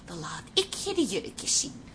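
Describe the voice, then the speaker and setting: A woman's voice: a little slow, fairly bright tone, a little hiss or hum, close to an everyday voice. A woman playing a persuasive, encouraging niece in a radio comedy drama. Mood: coaxing, cheerful. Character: cheerful, coaxing niece with opinions on dresses.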